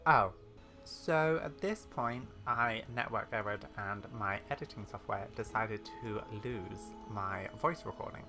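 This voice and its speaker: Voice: mid-range voice, measured pace, soft background music. A game content creator doing a casual, informal, slightly apologetic voice-over for viewers. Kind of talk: casual voice-over reading game quest text. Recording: steady background noise.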